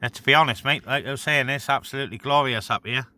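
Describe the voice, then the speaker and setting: A man's voice: medium pitch, quick pace, pushed and bright, slight background noise. A man chatting casually and informally as a vlogger and CB radio operator. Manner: matey, informal. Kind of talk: casual radio chat and vlog talk. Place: outdoors on a mountain top.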